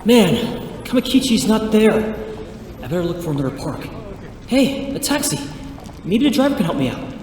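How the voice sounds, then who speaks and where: A man playing an eager, polite young martial arts student in an audio drama, earnest and expressive, in an echoey recording. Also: high voice, moderate pace. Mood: searching, thinking aloud. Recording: echoey, reverberant, faint background noise.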